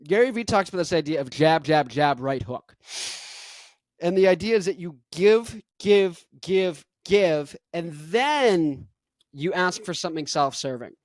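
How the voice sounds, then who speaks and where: A young man hosting his own podcast in an energetic, rambling monologue full of "like". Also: on the high side, unhurried, dry recording, clean studio sound. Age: young adult. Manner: rambling, energetic, heavy use of "like". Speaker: podcast host.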